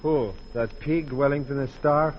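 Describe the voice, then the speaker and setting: A man's voice: medium pitch, steady tone, unhurried, a little hiss or hum, close to an everyday voice. A man acting in a 1940s radio drama, playing a scornful, evasive murder suspect. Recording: muffled, humming 1940s radio recording.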